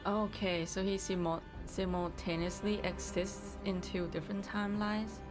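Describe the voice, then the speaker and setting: A woman's voice: medium pitch, flat delivery, normal speed. A woman streamer casually reacting to a game, thinking aloud in short remarks. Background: game music.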